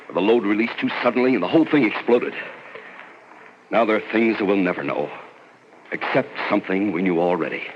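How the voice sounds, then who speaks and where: An actor playing a grave, thoughtful, scientifically minded doctor in an old-time radio horror drama. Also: male voice, fairly low pitch, lightly acted. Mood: shaken, grave. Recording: muffled, hissy old radio recording.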